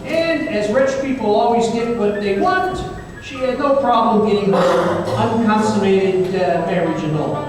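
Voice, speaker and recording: Radio play detective, played by a man: high voice, wry and conversational, heard far from the mic in a reverberant hall. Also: moderate pace, over music, lightly acted. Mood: amused. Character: wisecracking male detective recounting a supernatural gunfight. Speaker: actor in a staged radio play. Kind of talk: old-time radio drama storytelling. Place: live performance in a reverberant hall. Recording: very echoey hall, distant mic, faint noise.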